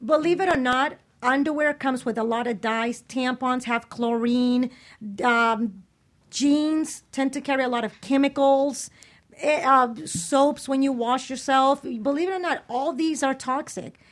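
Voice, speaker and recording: A woman's voice: high voice, measured pace. A woman co-hosting a radio talk show, chatting casually and explicitly in a blunt, opinionated, sweary way.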